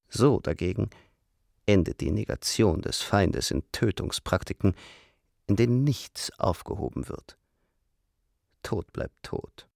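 An actor playing a brooding, sardonic theatre man in a radio drama, with a husky, gravelly, deliberate delivery. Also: on the low side, a little mellow, no room sound, clean studio sound, exaggerated voice. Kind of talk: German radio drama acting.

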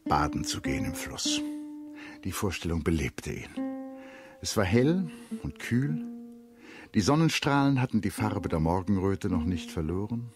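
A man narrating a literary radio play dramatically, in measured short phrases with expressive storytelling. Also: on the low side. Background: prominent music.

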